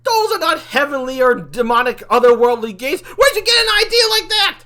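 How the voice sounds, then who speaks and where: Casting: man as chummy, panicky comic demon, bright forceful voice, big swooping pitch, rambling and exclamatory. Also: lively intonation, quick pace. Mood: mocking, incredulous. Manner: theatrical, rambling, exclamatory, swearing. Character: excitable male demon, donut-loving, foul-mouthed, panicky, chummy. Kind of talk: improvised comic role-play.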